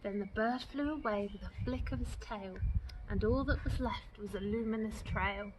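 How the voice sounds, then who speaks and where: A woman reading a rhyming children's story aloud in an expressive, dramatic, deliberate storytelling style. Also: medium pitch, noisy background. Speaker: storytime reader.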